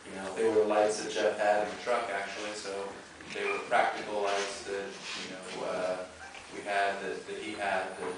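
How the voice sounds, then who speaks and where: A man speaking casually and haltingly as a film director answering questions, often repeating himself. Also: on the low side, completely flat delivery. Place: movie theater, audience present. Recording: very echoey theater, distant mic, noisy.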